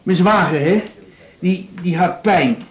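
A pestering working-class man in a hospital, in a roomy, echoing radio play. His voice sits fairly high, and he stammers and pleads with naive insistence. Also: takes it slowly. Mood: pleading, persuasive. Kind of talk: radio drama acting.

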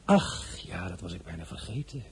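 An actor playing an imperious, suspicious emperor in a radio drama, commanding, formal and sarcastic. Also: male voice, deep voice, animated, normal speed, breathy edge, full character voice. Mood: sardonic. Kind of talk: Dutch radio drama dialogue.

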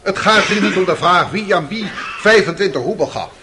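High-voiced, animated, stern prosecutor. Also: male voice, brisk. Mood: stern, accusing. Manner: formal, pointed, incisive. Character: stern, accusing male prosecutor grilling the accused. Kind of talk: Dutch radio drama courtroom acting. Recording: noisy old radio play recording.

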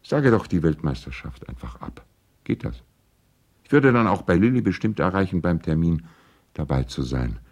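Very deep-voiced urbane lawyer. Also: male voice, big pitch swings, normal speed, a little mellow, exaggerated voice. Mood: coaxing, friendly. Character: urbane, persuasive male lawyer and family friend. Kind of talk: radio play, letters read aloud in character.

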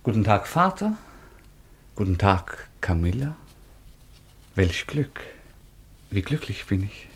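Lively, lyrical young nobleman. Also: on the low side, takes it slowly, pauses often, husky, full character voice. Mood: delighted, tender. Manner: lyrical, gallant. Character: young nobleman, tender, lyrical suitor and son. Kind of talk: German radio play of a classic stage comedy.

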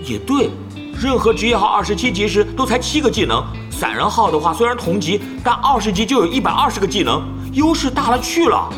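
An actor playing a chatty, nosy young male pro gamer who pesters rapidly in an audio drama. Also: high-pitched, big pitch swings. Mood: pestering. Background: background music.

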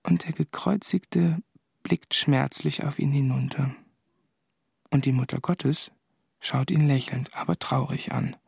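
A brooding, troubled man of faith in a radio play, solemn and hushed. The voice is extremely raspy and breathy with plenty of creak, recorded close and very dry. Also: medium pitch, fairly even intonation, a little slow, no room sound, exaggerated voice. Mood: pensive. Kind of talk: literary radio drama monologue.

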